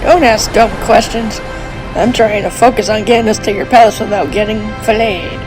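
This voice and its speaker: A woman voicing a bold, gruff, commanding pirate-like sea queen in an animated comic dub. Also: mid-range voice, moderate pace, music in the background, lightly acted, rough recording. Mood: brusque. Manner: salty pirate speech, commanding.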